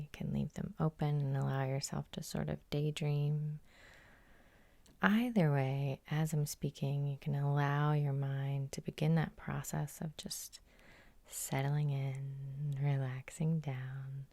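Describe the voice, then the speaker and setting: A woman hypnotherapist guiding an ASMR sleep hypnosis in a soft, soothing half-whisper with slow, lingering phrases. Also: low-pitched, vocal fry, dry recording. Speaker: hypnotherapist and host.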